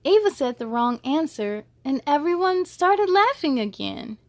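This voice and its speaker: A woman's voice: sits high, very animated, measured pace, dry recording. A woman hosting a children's story time, reading aloud expressively and speaking to young listeners in an encouraging way.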